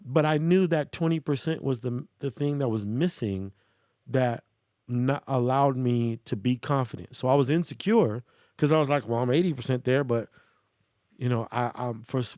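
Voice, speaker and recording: A man's voice: medium pitch, moderate pace, a little mellow, no room sound, clean studio sound. An American man telling his own story as a podcast guest, casual, candid and self-reflective.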